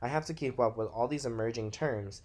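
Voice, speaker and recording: A man's voice: medium pitch, fairly quick, darkish tone. A nineteen-year-old man from Texas with a Texas American accent, narrating a scripted presentation as a student. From Texas, United States.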